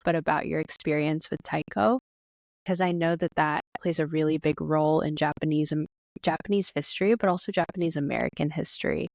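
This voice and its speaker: A woman's voice: low-pitched, no echo. A woman hosting a podcast, talking in a friendly, conversational way. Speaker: podcast host, therapist. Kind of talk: podcast interview.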